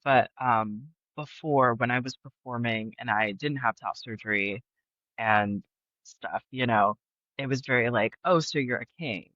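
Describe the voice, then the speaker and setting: A man's voice: mid-range voice, a little slow, sharp tone, no echo, lo-fi sound. A young man chatting as a podcast guest, laughing often and talking with many fillers. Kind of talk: casual interview chat. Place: Brooklyn.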